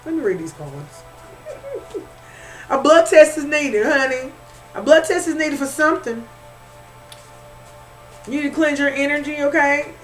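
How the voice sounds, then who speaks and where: A woman's voice: sits high, expressive, measured pace, long pauses, fairly bright tone, faint music behind, rough recording. A woman with a Southern accent doing an informal tarot reading, repeating herself and calling the listener honey. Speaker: spiritual reader.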